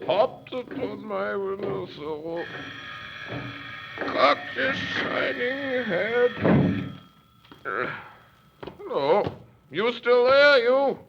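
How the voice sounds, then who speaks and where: A man puts on a sozzled posh gentleman who slurs and drawls along slowly in a high, bright, swooping voice. He rambles chummily at strangers. The recording is dull and lo-fi. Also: noisy background, full character voice. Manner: slurred, singsong, chummy "old fellow" talk. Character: drunken upper-class man, rambling, nosy. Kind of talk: old-time radio drama acting.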